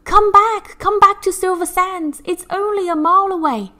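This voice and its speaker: A woman's voice: very high voice, normal speed, sharp tone, pitched above the performer's own voice. A woman voicing an anxious, helpful storybook seagull, crying out urgently and eagerly. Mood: urgent pleading.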